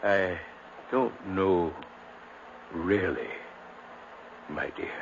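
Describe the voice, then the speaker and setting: An actor playing a grave, gentle family doctor in a 1950s radio drama, measured, soothing and hesitant. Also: male voice, low-pitched, heavily acted. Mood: evasive, gentle. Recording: muffled, noisy 1950s radio recording.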